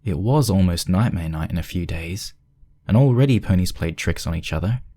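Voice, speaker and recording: A man's voice: deep voice, lively intonation, a little fast, mellow, dry recording, clean studio sound. A man reading scripted narration for a spooky fan fiction story.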